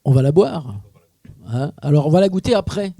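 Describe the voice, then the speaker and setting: Male host with a slightly high, warm voice; playful, teasing interviewer. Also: lively intonation, moderate pace, gravelly, no echo, studio quality. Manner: teasing, joking with guests. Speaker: radio host. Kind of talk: live radio chat. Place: live broadcast from a wine shop.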